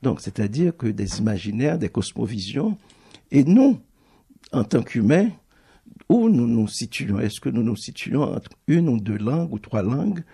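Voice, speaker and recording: Creole-native male publisher, husky, mellow timbre, halting and thoughtful. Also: mid-range voice, sing-song. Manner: thoughtful, halting, restarts phrases often. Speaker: book publisher. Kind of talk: reflective public talk.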